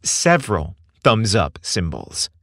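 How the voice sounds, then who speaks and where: A man's voice: lowish voice, wildly swooping pitch, takes it slowly. A man hosting a podcast, reading a scripted outro in a playful, wistful storytelling manner. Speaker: podcast host.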